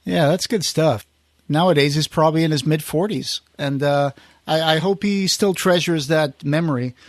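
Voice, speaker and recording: Man podcast host, slightly high voice, dry sound. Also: normal speed, no echo. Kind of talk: casual conversational recap.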